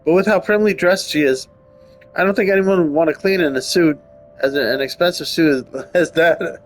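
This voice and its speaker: A man with a fairly high voice reads story narration aloud for a visual novel playthrough. He slips into casual, wry, nitpicking asides, with music playing behind him. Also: a little fast. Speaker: let's player reading a visual novel aloud. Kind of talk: reading narration aloud with casual riffing commentary.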